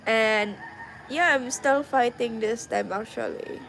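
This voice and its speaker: A woman's voice: on the high side, slow pace, a bit bright, slight background noise, rough recording. A young adult woman with a Filipino accent, chatting casually to her viewers and switching between English and Tagalog. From Philippines. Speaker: vlogger.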